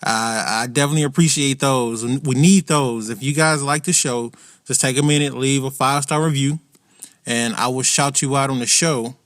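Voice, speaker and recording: A man's voice: medium pitch, fairly even intonation, normal speed, a bit bright. A man hosting a podcast, chatting casually and teasingly with lots of fillers, with faint music behind. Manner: laid-back, teasing, many fillers like "like". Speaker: podcast host. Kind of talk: casual podcast chat and show intro.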